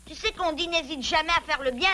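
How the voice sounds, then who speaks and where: A woman's voice: high-pitched, close to an everyday voice. A woman voicing a confident, kind young beaver boy in a French cartoon, youthful and persuasive. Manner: brisk, youthful, reassuring. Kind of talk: cartoon dub acting.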